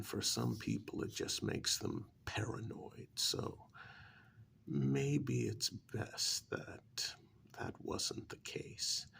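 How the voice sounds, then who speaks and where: A man playing a calm, shady doctor in an ASMR role-play, speaking softly and deliberately, often near a whisper, with light reproach. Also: medium pitch, slight background noise, full character voice. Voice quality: soft, breathy, often dropping to a whisper. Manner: slow, deliberate, lightly admonishing, with drawn-out pauses. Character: calm, shady male doctor who transplants wolf eyes.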